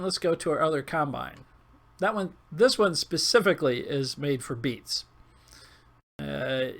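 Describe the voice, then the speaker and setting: Hoarse, gravelly man, higher-pitched voice. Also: pushed and bright. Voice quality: coarse, hoarse, squawky. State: hoarse, losing his voice. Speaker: gaming video host. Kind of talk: casual gameplay commentary.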